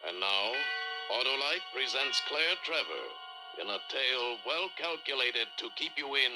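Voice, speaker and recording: Formal old-time radio announcer man over loud music. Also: medium pitch, animated. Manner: formal, measured, dramatic. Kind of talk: 1940s dramatic radio announcing. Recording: vintage radio broadcast, loud music behind.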